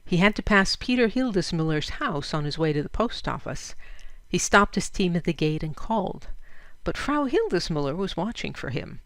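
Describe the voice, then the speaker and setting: A woman reading a short story aloud as expressive, scripted narration. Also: lowish voice, normal speed, dry recording. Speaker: story reader.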